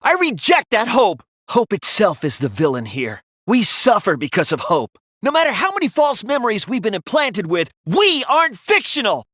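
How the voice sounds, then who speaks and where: A man voicing an earnest, sensitive young student detective in an anime video game, with intense, emotional, sometimes halting delivery. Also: high voice, wildly swooping pitch, fairly bright tone, no room sound, studio quality, full character voice. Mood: defiant, outraged. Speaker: voice actor.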